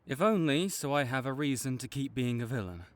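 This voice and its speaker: A man playing a tsundere supervillain in an audio roleplay, halting and exasperated, teasing and reluctantly caring toward a rival. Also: medium pitch, animated, lightly acted. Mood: grudgingly encouraging. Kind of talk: intimate audio roleplay addressed to the listener.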